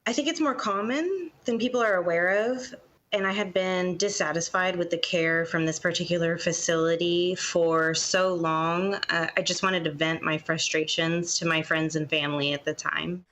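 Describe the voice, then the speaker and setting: Low-voiced young woman being interviewed. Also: steady tone, moderate pace, fairly bright tone. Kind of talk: candid interview answers.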